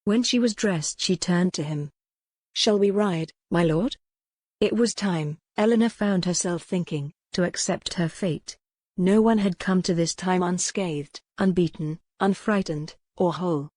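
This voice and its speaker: Low-pitched woman narrating an audiobook. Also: measured pace, darkish tone, no room sound, studio quality. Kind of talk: scripted dramatic narration of historical romance.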